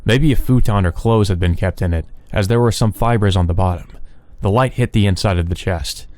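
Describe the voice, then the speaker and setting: A man's voice: lowish voice, brisk, darkish tone, no echo. A man reading a visual novel aloud as the host of a let's play. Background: background music.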